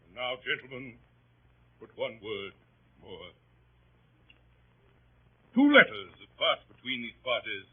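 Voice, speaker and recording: Pompous male barrister for vintage radio drama: indignant, declamatory, raspy, on a thin narrow-band recording. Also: medium pitch, big pitch swings, exaggerated voice. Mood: grave, insinuating. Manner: declamatory, grandiose, with drawn-out emphatic phrases and dramatic pauses. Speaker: actor. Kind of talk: 1930s radio acting, courtroom oratory.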